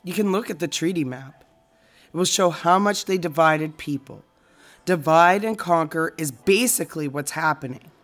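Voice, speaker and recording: A woman acting as a serious, reflective friend in a naturalistic audio drama, speaking in a measured, deliberate way. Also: sits low, lightly acted. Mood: grave.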